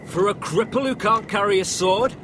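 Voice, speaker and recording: Man playing a high-voiced sneering young lordling. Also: normal speed, natural voice. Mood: mocking. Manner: taunting, swaggering. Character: cocky young nobleman garrison commander, sneering bully. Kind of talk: dramatic video game acting. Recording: clear background noise.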